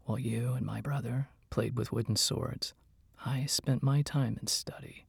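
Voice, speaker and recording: A man voicing a sickly, cynical mage who speaks slowly and secretively in a menacing, hissing whisper. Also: medium pitch, steady tone, gravelly, slightly rough, dry recording, clean studio sound, full character voice. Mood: cold warning. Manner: slow, deliberate.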